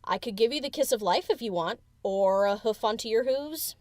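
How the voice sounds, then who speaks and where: A woman's voice: a little high, lively intonation, normal speed, a little mellow, no room sound, clean studio sound, lightly acted. A woman playing a brash, cheeky female DJ pony who talks in a casual, cocky, teasing way in an audio drama. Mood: gleeful. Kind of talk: fan audio drama character acting.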